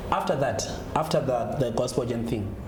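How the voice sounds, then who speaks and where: A man's voice: mid-range voice, a little fast. Zimbabwean man in an echoey, noisy room. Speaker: gospel musician being interviewed. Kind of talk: conversational interview answers. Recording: echoey room with clear background noise.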